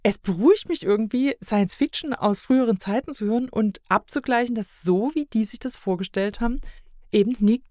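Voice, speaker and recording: A woman's voice: fairly high pitch, fairly quick, warm tone, dry recording, clean studio sound. A woman chatting casually and reflectively as a podcast guest, thinking aloud at length and repeating points for emphasis.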